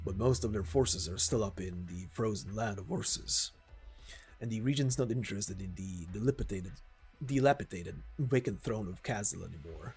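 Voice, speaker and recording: A man's voice: lowish voice, normal speed, darkish tone, husky, faint music behind, pitched below the performer's own voice, low-quality recording. A man playing a composed, weary mercenary captain in amateur voice acting of a game story. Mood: calm, explaining.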